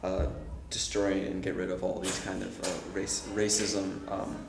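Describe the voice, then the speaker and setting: A teenage boy with a lowish voice speaks earnestly, stumbling through fillers as he answers an interviewer. The room is echoey, with a faint hiss of noise behind him. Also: completely flat delivery, vocal fry. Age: teens. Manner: halting, filler-heavy, earnest. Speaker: high school actor.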